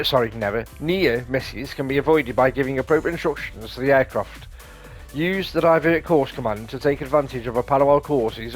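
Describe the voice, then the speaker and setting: Mid-pitched man commentating on a video game. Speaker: gaming video host. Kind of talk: casual game commentary mixed with reading tutorial text aloud. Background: light game music.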